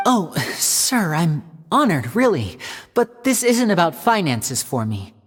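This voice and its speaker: An actor playing a polite, proud young stage magician who speaks in a smooth, courteous way. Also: male voice, on the high side, big pitch swings, slow pace, full character voice. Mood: earnest. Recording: reverb with game music.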